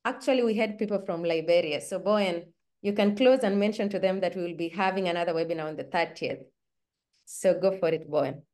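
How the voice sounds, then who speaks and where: A woman from Kenya, hosting an online webinar in a warm, chatty manner over a video call. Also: fairly low pitch, brisk. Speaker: webinar host.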